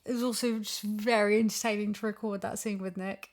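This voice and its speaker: A woman's voice: mid-range voice, steady tone, fairly quick. A woman chatting casually and animatedly as a voice actor, wordy and thinking aloud. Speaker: voice actor and podcast guest. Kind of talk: casual chat.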